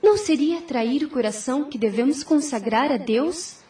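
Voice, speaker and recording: High-voiced, principled teenage girl played by a woman. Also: lively intonation, moderate pace, mellow, far from the mic. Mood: earnest, reasoning. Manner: formal, earnest. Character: virtuous, principled, composed sixteen-year-old daughter. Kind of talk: audio drama acting.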